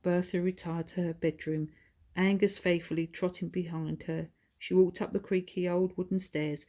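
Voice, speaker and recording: A female author reads a children's story aloud. Her voice sits slightly low for a woman, in a scripted storytelling style. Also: little pitch movement, normal speed, mellow. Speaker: author reading own book.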